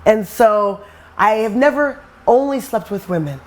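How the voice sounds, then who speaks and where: Middle-aged American woman, average pitch, speaking openly as an interviewed performer. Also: lively intonation, measured pace. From suburb outside New York City, United States. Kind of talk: candid personal interview.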